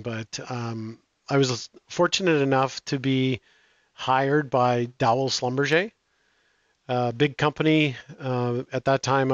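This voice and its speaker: A man's voice: medium pitch, no echo. Hesitant man, dull dry audio. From Canada. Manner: hesitant, frequent restarts and fillers. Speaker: guest. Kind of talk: casual interview answers.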